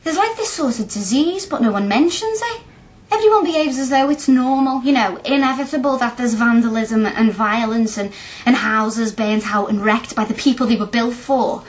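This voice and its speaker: An actress playing a chatty, blunt young working-class student in a radio play, speaking colloquially and earnestly. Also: female voice, fairly high pitch, normal speed, natural voice. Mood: earnest, impassioned.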